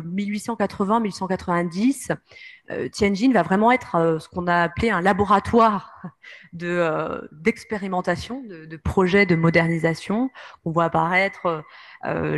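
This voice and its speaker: A woman with a French accent giving a history lecture from slides, hesitating often with fillers. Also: mid-range voice. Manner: many hesitations, frequent "euh".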